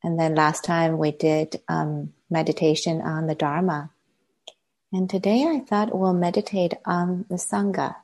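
A woman, a native Chinese speaker, leading a guided meditation as a teacher, gently and with long unhurried pauses. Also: fairly low pitch, steady tone.

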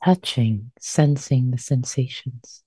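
A woman teacher leading a guided meditation, speaking gently and softly, lingering on and repeating phrases. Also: extremely low pitch, breathy edge, no echo. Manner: gentle, soft-spoken, unhurried with repeated phrases.

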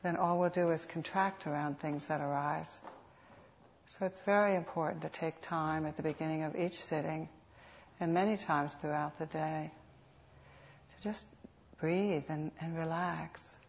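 Low, even-voiced woman guiding meditation. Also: slight background noise. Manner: unhurried, soothing, with reflective pauses. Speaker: meditation teacher. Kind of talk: guided meditation instruction. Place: meditation center. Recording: dull, lo-fi live talk recording.

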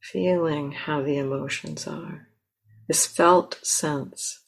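A woman meditation teacher leading a guided meditation in a gentle, unhurried manner, often pausing mid-phrase. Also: deep voice, fairly even intonation, gravelly, low-quality recording. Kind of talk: guided meditation, slow with long pauses. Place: meditation center.

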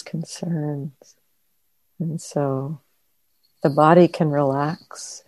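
A woman teacher leading a guided meditation in a gentle, unhurried manner, leaving long pauses. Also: low-pitched, no room sound, poor audio. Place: meditation center.

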